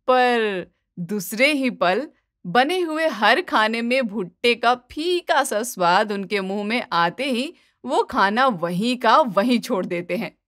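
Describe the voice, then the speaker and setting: A woman narrates a scripted moral tale. Her voice is a little high, and the recording is dry, with no room sound. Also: a bit bright, dry recording.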